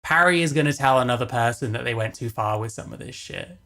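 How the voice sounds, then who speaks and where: A man's voice: mid-range voice, fast, sharp tone. A man giving casual, matter-of-fact livestream commentary, blunt and prone to swearing. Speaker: stream co-commentator.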